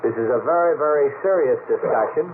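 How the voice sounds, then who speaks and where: A man's voice: medium pitch, fairly quick. Male radio host on tinny, noisy vintage recording. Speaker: radio talk show host. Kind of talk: late-night radio panel discussion. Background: clear hiss and noise.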